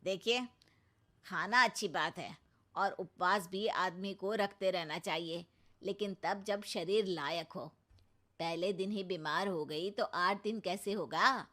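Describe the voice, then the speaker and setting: A woman voicing a calm middle-aged male doctor in a cartoon, giving advice with a dry joking manner. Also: fairly low pitch, slow pace. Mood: advising. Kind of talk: Hindi cartoon voice acting.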